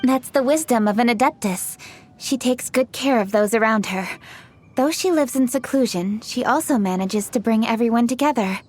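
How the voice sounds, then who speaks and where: A woman voicing a dutiful young official who speaks in a crisp, composed, businesslike way. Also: on the high side, moderate pace, darkish tone, faint music behind, natural voice.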